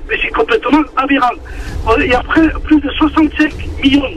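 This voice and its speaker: High-pitched man with a southern French accent. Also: steady tone, fairly bright tone. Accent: southern French, Marseille-like. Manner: earnest, halting, many restarts. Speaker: caller. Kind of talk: phone-in opinion on air. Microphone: telephone. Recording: muffled phone line with faint noise.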